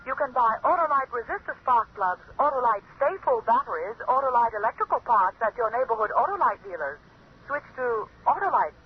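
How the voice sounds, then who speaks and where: Very high-pitched man on tinny old radio. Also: lively intonation, brisk. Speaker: radio commercial announcer. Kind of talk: vintage radio sponsor tag. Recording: tinny phone-like old radio, faint noise.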